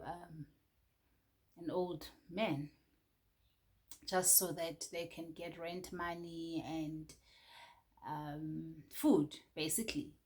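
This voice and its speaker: Slow, hesitant Nigerian woman. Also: on the low side. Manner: hesitant, many fillers and restarts. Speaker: book reviewer. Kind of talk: casual book review.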